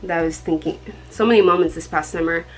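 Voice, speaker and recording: A young woman from Colorado with a dark tone, chatting casually to the camera in a rambling way, with many ums and soft laughs. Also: fairly low pitch, quick pace, faint music behind, low-quality recording. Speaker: vlogger.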